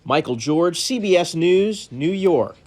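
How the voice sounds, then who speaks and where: A male network correspondent narrates a news package in a crisp, emphatic cadence. His voice sits high and moves a lot in pitch. It sounds like a polished broadcast voice-over. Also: expressive, a little slow, a little mellow. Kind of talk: scripted news report voice-over.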